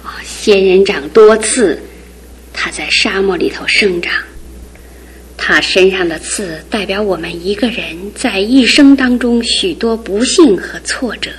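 A woman playing a gentle, wise middle-aged aunt in a radio drama, calm and unhurried. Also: medium pitch, slight background noise, lightly acted. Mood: thoughtful. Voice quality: husky.

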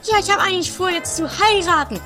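A woman playing an excitable, chatty young woman in a comedy radio play, gushing and stammering dramatically. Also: extremely high pitch, quick pace, soft background music. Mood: excited.

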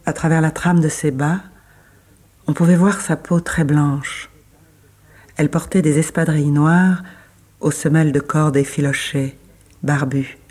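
A man reading literary prose as a scripted narration, with measured, deliberate phrasing and pauses between phrases. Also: on the high side, steady tone, a little mellow, breathy edge.

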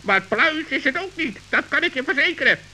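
Fussy guardian raven: high, very sharp voice, formal and emphatic, for children's radio drama. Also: male voice, lively intonation, fairly quick, heavily acted. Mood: urgent. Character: solemn, fussy, protective male raven. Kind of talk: children's radio play acting. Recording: hissy old radio recording.

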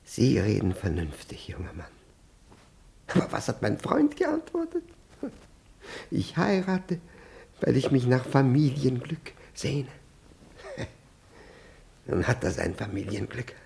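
Flustered, creaky, jealous husband. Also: male voice, medium pitch, huge pitch swings, takes it slowly, pauses often, darkish tone, slight background noise, heavily acted. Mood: rueful. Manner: stammering, indignant, theatrical. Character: flustered jealous older husband, pompous and evasive. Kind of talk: 1960s German radio play acting.

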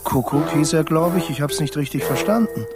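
A man's voice: on the high side, brisk, natural voice. A man playing a chatty, scatterbrained barn mouse in a children's radio play, rambling and forgetful in an offhand way. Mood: chuckling, muddled. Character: chatty scatterbrained barn mouse, a family creature writing a letter. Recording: loud music behind the voice.

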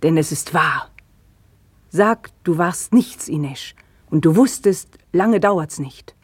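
An actress playing a young widow who speaks slowly and often in a whisper, in a radio play. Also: female voice, low voice, animated, slightly rough, no echo, full character voice. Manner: slow, hushed, often whispering. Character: Ines, young widow, estranged, bitter. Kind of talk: radio drama acting.

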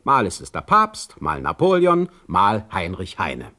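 Radio play role: proud sardonic poet, lowish voice, very lively intonation, eloquent and formal. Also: male voice, a little slow, full character voice. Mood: sardonic, indignant. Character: Heinrich Heine, proud sarcastic male poet under challenge. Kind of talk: radio drama dialogue.

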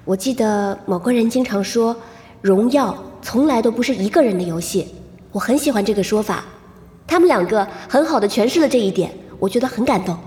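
A woman playing a composed, perceptive young gamer in an audio drama, speaking in a measured, gentle way. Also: a little high, animated, husky. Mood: warm, moved.

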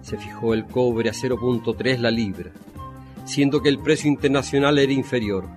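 A man narrates a scripted historical radio piece. His mid-pitched voice is formal and measured. Light music plays behind him.

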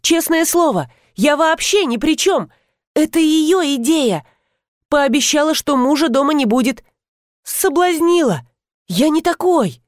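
Casting: a woman as a cowardly young man in a comic audiobook, high, pleading whine, very dry sound. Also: no echo. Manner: pleading, whimpering. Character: panicky, whining young blond lover, male.

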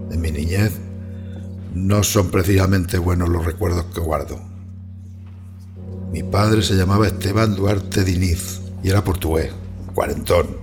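A man acting as a bitter, fatalistic countryman from Spain, narrating his hard life in a measured, grave radio play style with a Spanish accent. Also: sits low, creaky, a little mellow.

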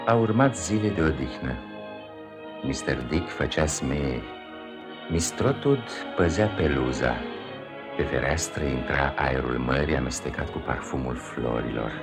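A man's voice: extremely low pitch, lively intonation, loud music behind the voice. A man giving scripted, storybook narration in a 1950s radio drama. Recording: 1950s radio archive recording.

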